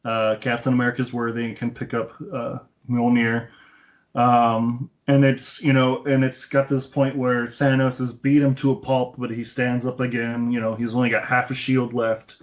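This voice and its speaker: A man with a mid-pitched voice co-hosts a film podcast in a casual, enthusiastic fan's way. The sound is dull and lo-fi, as if carried over an internet voice call. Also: monotone, moderate pace. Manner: enthusiastic fan, acts out quoted lines. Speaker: film review podcast host. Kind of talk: casual chat, recounting a film's plot.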